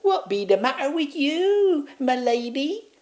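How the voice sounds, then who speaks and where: The storyteller voicing a rustic lady's maid who fusses in old-fashioned speech, pitched high. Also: sing-song, a little slow, darkish tone. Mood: concerned.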